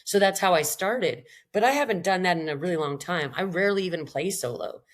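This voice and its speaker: A middle-aged Canadian singer-songwriter woman with a slightly raspy voice, talking candidly in a podcast interview, rambling and self-deprecating. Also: on the low side, lively intonation, brisk. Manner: rambling, self-deprecating, frequent laughs and restarts.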